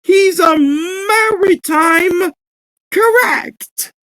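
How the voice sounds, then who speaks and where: A woman's voice: extremely high pitch, very slow, a bit bright, dry recording, pitched above the performer's own voice, full character voice. Blunt cartoon character, played by a woman: clumsy, choppy exclamations, amateur acting, dry sound. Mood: brisk, indignant.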